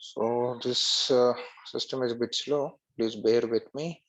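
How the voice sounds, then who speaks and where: A man narrating a screen-share software tutorial step by step as an instructor. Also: medium pitch, steady tone, unhurried, poor audio.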